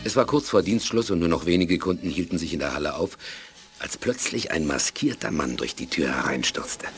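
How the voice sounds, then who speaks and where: An actor narrating a German science fiction audio drama as a sober storyteller. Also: male voice, deep voice, animated, brisk, soft background music. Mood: measured, suspenseful.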